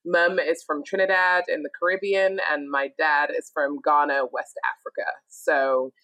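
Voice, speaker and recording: Low, bright-voiced woman. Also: little pitch movement, moderate pace, no room sound, rough recording. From UK, born in Scotland and raised in northern England. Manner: upbeat and chatty, laughing easily. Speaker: guest. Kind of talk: casual podcast conversation.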